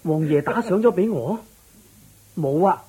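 Husky, humble poor musician. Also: male voice, high voice, lively intonation, a little slow, mellow, heavily acted. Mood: puzzled pleading. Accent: Hong Kong Cantonese. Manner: meek, pleading. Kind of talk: radio drama acting.

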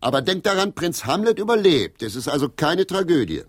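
A man acting in a German radio play as a gruff, loyal senior member of a theatre troupe, with a blunt manner. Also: a little high, big pitch swings, brisk. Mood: commanding, backing.

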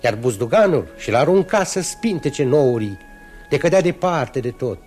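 A man narrating a fairy tale in a measured storybook manner in a 1960s radio play. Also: mid-range voice, sing-song, darkish tone. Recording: 1964 radio recording with music behind.

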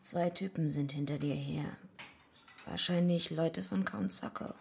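Radio drama lead: a tough, streetwise female fighter, low gravelly voice, blunt and laconic. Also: steady tone, measured pace, a little mellow, slight background noise. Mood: urgent, distressed. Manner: blunt, terse, laconic first-person telling. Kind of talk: radio drama acting with narration.

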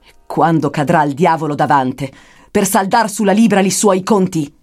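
A woman's voice: fairly low pitch, fairly even intonation, moderate pace, darkish tone, natural voice. A woman playing a solemn poet guide who prophesies infernal punishments in declamatory, archaic rhymed verse. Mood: mocking, prophetic. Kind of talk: dramatic verse recitation. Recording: music behind the voice.

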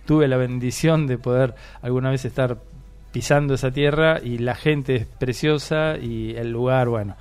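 A man's voice: mid-range voice, steady tone, moderate pace, a little mellow, clean tone, faint music behind. Argentine man in his sixties, radio host, rambling, hesitant with fillers. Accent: Argentine Spanish. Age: 60s. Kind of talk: warm radio interview.

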